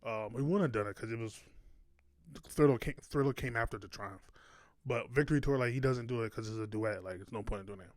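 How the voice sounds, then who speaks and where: A man's voice: medium pitch, a little fast, no room sound, clean studio sound. A man hosting a reaction video, chatting casually in an informal, slangy, sentimental fan's way.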